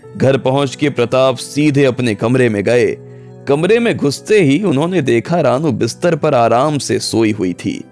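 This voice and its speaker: Mid-pitched man narrating an audio story. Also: lively intonation, a little slow, a little mellow. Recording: music bed under the voice.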